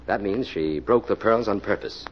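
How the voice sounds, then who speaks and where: A man's voice: on the low side, moderate pace, a little hiss or hum, natural voice. A man playing a cool, authoritative police detective in 1940s radio style, speaking firmly in clipped, commanding phrases. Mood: explaining coolly. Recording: muffled old radio recording, music behind.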